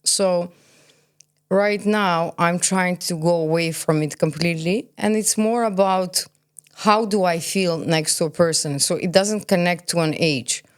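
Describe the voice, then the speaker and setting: A woman of about forty with a fairly low voice speaks as a guest in a conversational interview. She is candid and firmly opinionated. She often throws out a question and answers it emphatically herself. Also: measured pace, smooth. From born in Kazakhstan, grew up in Belgium. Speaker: podcast guest, cosmetologist.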